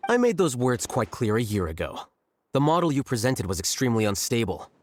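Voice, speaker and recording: A calm, aloof genius scholar in a video game who explains things precisely and drily. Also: mid-range voice, a little fast, no room sound. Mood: dismissive.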